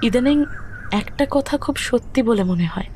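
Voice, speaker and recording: A woman with a Kolkata Bengali accent playing a grieving, resolute young widowed mother in an audio story, speaking firmly and deliberately. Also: fairly high pitch, expressive, a little mellow, slight background noise, close to an everyday voice. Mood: wistful. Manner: solemn, deliberate, firm. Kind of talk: romantic audio drama dialogue. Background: background music.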